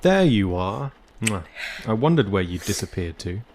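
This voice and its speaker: An actor playing a male patrol captain who speaks in a brisk, hurried but affectionate manner in an audio drama. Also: on the low side, very animated, darkish tone.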